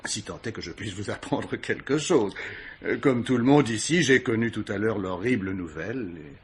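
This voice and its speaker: An actor playing an urbane, witty, self-absorbed older gentleman of leisure in a radio mystery, speaking with mannered, ironic asides. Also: fairly low pitch, animated, normal speed, slightly rough. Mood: urbane, wry. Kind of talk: vintage French radio mystery acting.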